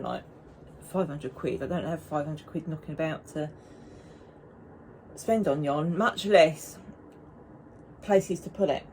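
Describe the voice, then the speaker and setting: A woman's voice: deep voice, creaky, a little mellow, slight background noise, low-quality recording. A woman, chatting casually and rambling to camera as a knitting vlogger. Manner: chatty and rambling, with frequent restarts.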